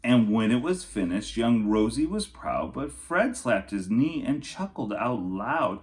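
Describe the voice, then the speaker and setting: A man reading a children's storybook aloud in an expressive, theatrical way, with playful, casual asides. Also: medium pitch, a little slow.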